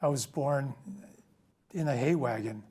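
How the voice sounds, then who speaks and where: A man's voice: mid-range voice, unhurried, darkish tone, husky. A man in his eighties whose first language is Ukrainian. He is a guest in a casual interview, telling his story in a rambling way and often restarting and correcting himself. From United States. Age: 80s.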